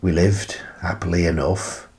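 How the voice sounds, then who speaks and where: A gentle, eccentric older British man, played by an actor, speaks in a deep voice at a very unhurried pace. He rambles wistfully through a radio play monologue, pausing and hesitating as he reflects. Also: steady tone. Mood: wistful, reflective. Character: gentle, wistful, eccentric old grandfather living in a playhouse.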